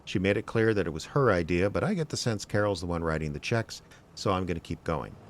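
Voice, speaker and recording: An actor playing a wry, weary male private investigator, deadpan and sardonic, in radio drama narration and dialogue. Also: sits low, fast. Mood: dry, curt. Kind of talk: first-person noir narration and dry radio drama dialogue.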